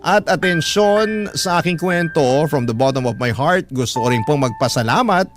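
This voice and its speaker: A man's voice: fairly high pitch, expressive, normal speed. Filipino male storyteller over prominent music. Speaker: radio storyteller reading a listener's letter. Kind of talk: dramatic scripted letter narration. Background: music bed.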